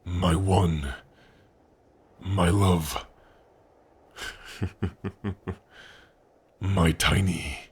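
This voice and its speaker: A big, devoted orc lover voiced by a man in an intimate ASMR roleplay. His voice is very husky and low, and he takes his time over each phrase. The manner is tender and earnest, gentle despite his size. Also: exaggerated voice. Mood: shaken, tender. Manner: tender, earnest, slow and breathy with sighs.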